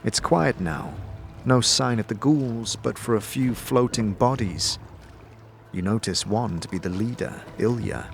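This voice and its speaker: A man narrating a fantasy audio series with theatrical, measured, suspenseful phrasing. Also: on the low side, vocal fry, a little hiss or hum. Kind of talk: dramatic second-person fantasy narration. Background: loud music and sound effects.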